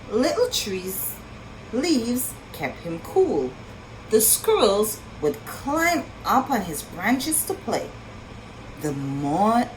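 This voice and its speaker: Slow, very animated woman reading to preschoolers. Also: mid-range voice, slight background noise, rough recording. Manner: expressive, sing-song, pausing to ask young listeners questions. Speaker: children's storytime reader and teacher. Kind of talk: read-aloud story for preschool children.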